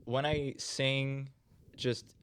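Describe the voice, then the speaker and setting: A man's voice: mid-range voice, little pitch movement, very slow, a bit bright, clean tone. A young man from Russia, a band's guitarist and singer, chatting loosely on a podcast with many fillers. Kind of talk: casual podcast chat.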